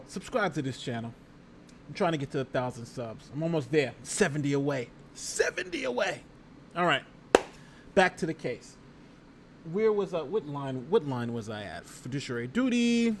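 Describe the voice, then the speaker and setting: Male livestream host, lawyer type: slightly high voice, conversational and emphatic legal commentary, reads aloud and reacts, some background noise. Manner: conversational, emphatic, repeats phrases and talks directly to viewers. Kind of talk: casual live legal commentary, reading a document aloud and reacting.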